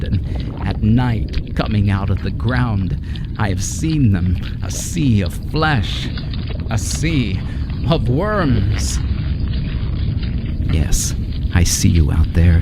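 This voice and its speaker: An actor playing a terrified, guilt-ridden man sliding into madness in an old-time radio horror drama, his monologue anguished, halting and melodramatic. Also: low voice. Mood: haunted dread, then bitter, resigned despair. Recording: heavy noise under the voice.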